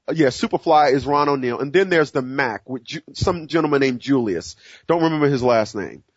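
A man's voice: medium pitch, animated, brisk, slightly rough, dry recording, muffled recording. A man in his forties, hosting a solo talk show and speaking earnestly with many restarts and fillers. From United States. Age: 40s. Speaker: show host. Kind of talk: solo monologue to listeners.

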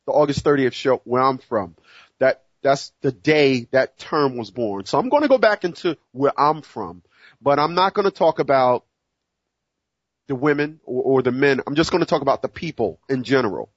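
A man's voice: medium pitch, animated, normal speed, dry recording, lo-fi sound. A man in his forties, hosting a solo talk show and speaking earnestly with many restarts and fillers. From United States. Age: 40s. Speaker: show host. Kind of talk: solo monologue to listeners.

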